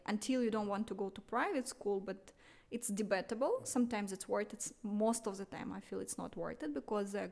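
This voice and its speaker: A woman's voice: medium pitch, creaky, darkish tone. A woman in her thirties from Russia, speaking English with a Russian accent as an interview guest, earnest and emphatic with light laughs. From Russia (Siberia). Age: 30s. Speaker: podcast guest, marketing entrepreneur. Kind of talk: conversational interview.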